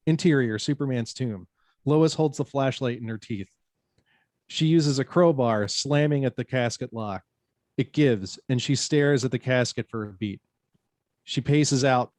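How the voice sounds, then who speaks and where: A man's voice: mid-range voice, a little mellow, dry recording, studio quality. A man reading stage directions as narrator in a scripted table read.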